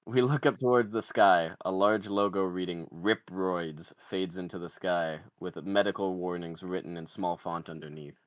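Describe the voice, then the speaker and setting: Male table-read narrator, low voice, dry close sound, delivering stage directions. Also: fairly even intonation, moderate pace, hardly any pauses, no echo. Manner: reads out screenplay directions. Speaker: podcaster reading stage directions.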